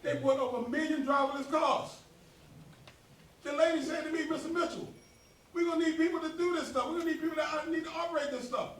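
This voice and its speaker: A man presiding over a neighborhood meeting, talking emphatically and working up to near shouts as he urges the room. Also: very high-pitched, fairly even intonation, quick pace. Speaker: association president hosting a meeting. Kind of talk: animated speech to a community meeting. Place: community meeting hall. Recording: roomy hall, mic from a distance, faint ambience.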